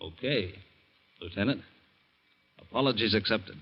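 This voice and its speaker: A man's voice: fairly low pitch, unhurried, breathy edge, highs cut off. An actor playing a tough, menacing framed man in 1940s American radio drama, hard-boiled, slangy and commanding. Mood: calm, relieved. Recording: old 1940s radio recording.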